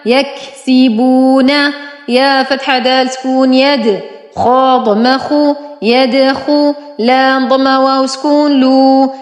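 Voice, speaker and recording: A woman teacher reciting a spelling drill, sounding out each syllable deliberately with drawn-out enunciation. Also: high-pitched, echoey room, long reverb tail. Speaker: Quran reading teacher. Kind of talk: slow spelling-out Arabic phonics drill.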